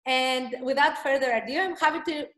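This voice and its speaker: High-voiced woman hosting in an echoey room. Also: brisk, fairly bright tone. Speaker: event host and organizer. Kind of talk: welcoming webinar introduction. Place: small room indoors, on a video call. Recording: roomy, echoing laptop microphone.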